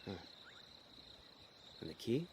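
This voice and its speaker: A man's voice: takes it slowly, background noise, close to an everyday voice. An actor playing a laid-back, cocky small-time con man in a noir audio drama, wry and slangy. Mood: low, probing.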